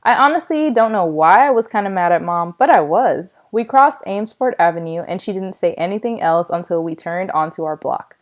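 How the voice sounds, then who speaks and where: A woman's voice: fairly low pitch, expressive, brisk, hardly any pauses. Woman reading a children's story aloud. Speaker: reader of a children's novel. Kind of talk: read-aloud storytelling with acted dialogue.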